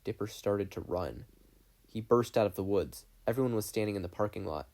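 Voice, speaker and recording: A man's voice: on the low side, expressive, a little fast, darkish tone. A man reading a story aloud in scripted narration. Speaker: podfic reader.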